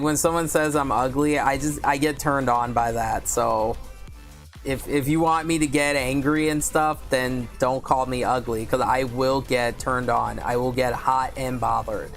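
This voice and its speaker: A woman with a deep voice hosting a casual book livestream, chatting candidly with viewers and reading their comments aloud. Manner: speaks slowly with many fillers.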